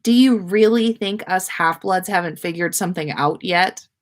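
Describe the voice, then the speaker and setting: A woman's voice: fairly low pitch, normal speed, close to an everyday voice. A woman voicing a friendly, curious schoolgirl who speaks eagerly in a story read aloud. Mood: playful.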